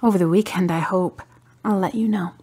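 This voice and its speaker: A guarded, grieving daughter played by a woman in a radio drama. Her voice is husky and breathy, with a restrained, stoic delivery. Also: on the low side, steady tone, a little fast, mellow. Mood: weary.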